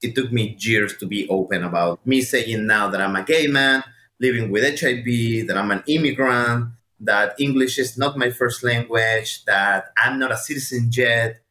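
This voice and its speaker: A man's voice: mid-range voice, moderate pace, sharp tone. Mexican accent guy telling his story, earnest n direct, repeats for emphasis, kinda echoey. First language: Spanish. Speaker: guest speaker sharing personal testimony. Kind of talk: personal testimony and encouragement, addressed to listeners. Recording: roomy, echoing sound.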